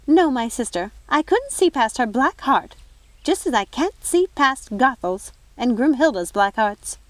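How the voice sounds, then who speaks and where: Haughty storybook fairy godmother acted by a female reader: high, swooping, shrill and scolding. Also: expressive, a little slow, no echo. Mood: disdainful. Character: haughty, indignant fairy godmother, squealing and accusatory.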